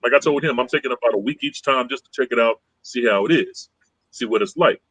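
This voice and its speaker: A man with a husky, slightly breathy voice talks through his view in a casual podcast chat. He sounds calm and conciliatory, circling back and repeating phrases. The sound is dry and close, with no room echo. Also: medium pitch, quick pace. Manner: conciliatory, rambling, repeats himself.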